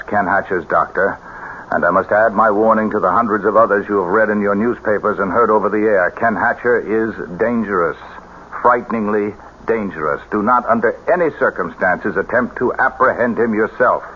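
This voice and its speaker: Guy acting a serious formal psychiatrist in an old radio play, deepish, bit of hiss. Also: narrow, tinny audio, natural voice. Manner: measured, probing, formal. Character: earnest, authoritative male military psychiatrist.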